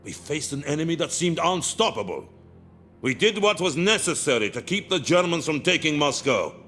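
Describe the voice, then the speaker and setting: An actor playing a stern, unrepentant Soviet colonel in a video game, speaking with a Russian accent in a forceful, oratorical manner. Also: male voice, on the high side, big pitch swings, moderate pace, faint music behind. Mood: stern conviction. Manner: forceful, oratorical, self-justifying. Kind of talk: dramatic video game cutscene dialogue.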